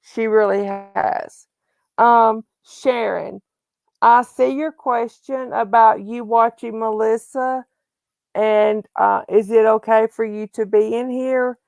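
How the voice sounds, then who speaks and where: A woman's voice: on the high side, fairly even intonation, takes it slowly, gravelly, a little mellow, no room sound. A woman hosting a casual livestream, chatty and often restarting her phrases. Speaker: livestream host.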